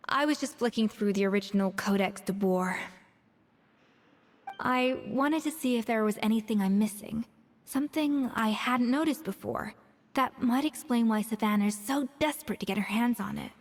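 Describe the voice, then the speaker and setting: A woman's voice: a little high, natural voice. Woman voicing a proud master chef. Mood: wistful. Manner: self-assured, measured, turns wistful when alone. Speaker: head chef. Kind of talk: video game dialogue. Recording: reverberant game audio with faint music.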